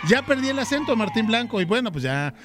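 He is a man from Argentina co-hosting a radio show, his voice pitched high for a man. He keeps things loose and chatty, teasing his partner and joking with the guest. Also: big pitch swings, fast talker, over music. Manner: jokey, chatty and warm with guests. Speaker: radio host. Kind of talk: radio interview.

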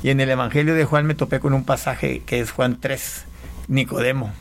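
A man from Panama, an interview guest, giving a personal testimony in a casual, halting way with repeated words. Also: medium pitch, fairly even intonation, creaky.

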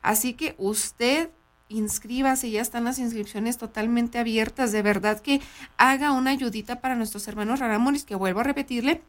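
A loud, excitable woman with a shrill, squeaky voice and a northern Mexican accent, chatting casually as a radio co-host.

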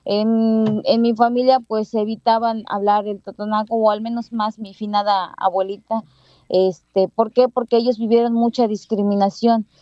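A woman's voice: on the high side, level tone, moderate pace, no room sound, lo-fi sound. A Mexican woman schoolteacher in her thirties with a Mexican Spanish accent, telling her story in an interview with many fillers and restarts. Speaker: bilingual schoolteacher.

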